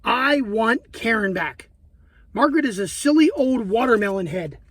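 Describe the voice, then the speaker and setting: A man's voice: extremely high pitch, lively intonation, moderate pace, a bit bright, exaggerated voice. Man doing an indignant little girl. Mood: fed up. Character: indignant, outspoken little girl in swim class.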